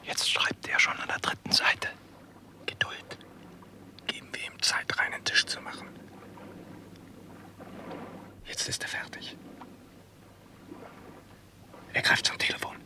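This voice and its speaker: An actor playing a furtive, patient investigator in a radio crime play, murmuring terse observations in a hushed voice, away from the microphone. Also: male voice, normal speed, whispered, distant mic. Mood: tense whisper. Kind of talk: vintage German radio crime play acting.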